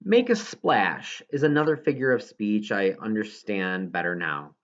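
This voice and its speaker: A man's voice: on the low side, animated, measured pace, dull audio. A man reading a children's novel aloud as scripted narration, now and then stumbling over a word. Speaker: audiobook reader.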